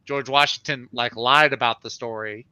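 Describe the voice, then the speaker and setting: A man's voice: mid-range voice, fairly even intonation, moderate pace, highs cut off, crowd noise. A man chatting casually and enthusiastically as he reviews on a livestream show, informal and unscripted.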